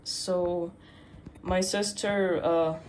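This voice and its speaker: A teenage girl rambling on a livestream, full of pauses, fillers and restarts. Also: on the low side, fairly even intonation, a little mellow, a little hiss or hum, poor audio. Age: teens. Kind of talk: rambling casual stream chat.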